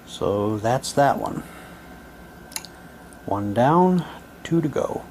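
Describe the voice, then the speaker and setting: A man's voice: medium pitch, lively intonation, extremely slow, gravelly, dark tone. A man doing a casual show-and-tell, switching between chatty remarks and reading printed material aloud. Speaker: presenter showing audio drama props. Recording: noticeable background noise.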